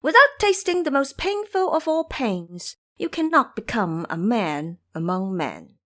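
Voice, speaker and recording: A woman's voice: fairly high pitch, very animated, a little slow, a bit bright. A woman voicing a bold, cocky male monkey disciple in an audio drama, boastful and fond of lecturing. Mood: confident, preachy. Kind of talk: audio drama character acting.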